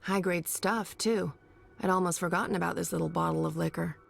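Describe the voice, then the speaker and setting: An actress playing a brisk young woman who mutters to herself while gathering potion ingredients. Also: fairly low pitch, steady tone, vocal fry, faint music behind. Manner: muttering to herself as she works.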